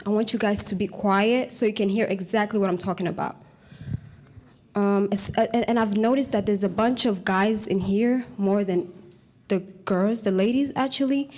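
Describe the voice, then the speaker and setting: A woman's voice: medium pitch, dark tone. A young woman giving an earnest, prepared speech to an audience, often stopping to restart a word. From Congo, raised in Uganda. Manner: earnest, halting, with frequent restarts on words. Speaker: community speaker.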